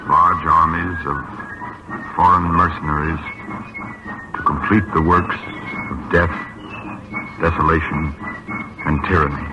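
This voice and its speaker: An actor playing a solemn, idealistic young colonial gentleman with a halting, earnest delivery in a radio drama. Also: very deep voice. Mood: brooding, grave. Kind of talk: 1940s radio acting. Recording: dull, faintly noisy 1940s radio recording.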